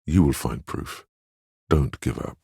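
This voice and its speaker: Game role: stoic inner conscience, extremely low and husky, solemn, steadfast and reassuring, recorded dry. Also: male voice, unhurried, warm tone, no echo, heavily acted. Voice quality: very deep, gravelly, husky. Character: inner voice of willpower, a stoic, protective, encouraging male conscience. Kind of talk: dramatic game voice acting.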